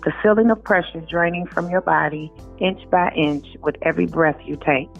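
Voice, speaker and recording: A woman's voice: fairly low pitch, moderate pace, vocal fry. A woman author speaking informally as a guest, recorded in dull lo-fi audio with music behind her. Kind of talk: reading aloud and informal interview talk.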